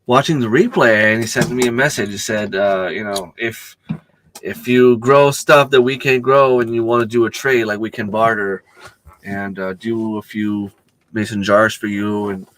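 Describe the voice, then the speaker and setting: A middle-aged man with a hoarse voice from a cold, chatting casually on a home live stream and greeting viewers by name. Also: lowish voice, fairly even intonation, normal speed, a bit bright, a little hiss or hum. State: losing his voice from a cold. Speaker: channel host.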